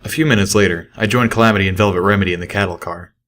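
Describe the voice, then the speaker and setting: A man reads first-person fiction as an audiobook narrator. His voice sits on the low side, and the delivery is scripted storytelling. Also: rapid-fire, a bit bright. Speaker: audiobook reader.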